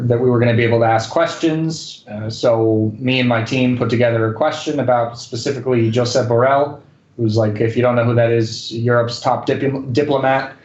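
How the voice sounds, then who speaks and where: A man's voice: mid-range voice, darkish tone. Man chatting casually in an echoey room. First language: English and Spanish. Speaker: guest, correspondent and news anchor. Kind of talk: casual interview chat. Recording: dull lo-fi roomy sound.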